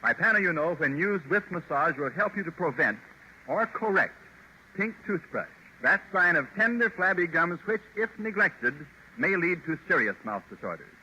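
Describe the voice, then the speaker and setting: An American man announcing on 1930s radio, delivering an earnest, deliberate sponsor pitch on an old broadcast recording. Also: sing-song, pushed and bright, muffled recording, a little hiss or hum.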